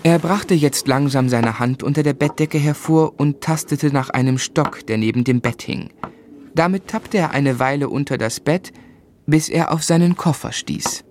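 An actor playing a polite gentleman who narrates his own youth in a composed, literary radio drama style. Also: on the high side, moderate pace, slight background noise, close to an everyday voice. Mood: composed, reflective.

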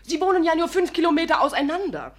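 A woman's voice: very high-pitched, big pitch swings, quick pace. An actress playing a chatty, saucy young serf maid in a German radio drama, gushing and rambling as she talks. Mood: cheeky, eager. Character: cheeky, chatty young serf maid, loyal and fun-loving.

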